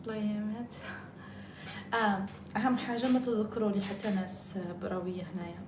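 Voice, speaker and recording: Algerian woman chatting fast on a live stream, echoey room, noisy background. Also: medium pitch. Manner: chatty, jumps between topics, mixes in French and English. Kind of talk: casual live-stream chat.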